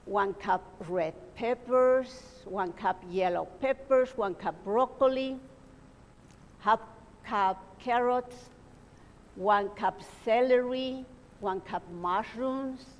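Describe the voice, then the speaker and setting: A woman with a Peruvian Spanish accent, a native Spanish speaker, giving a live cooking demonstration, pausing often with fillers as she reads from her notes. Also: on the high side. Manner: hesitant, with frequent fillers, reading from notes. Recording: long reverb in a hall.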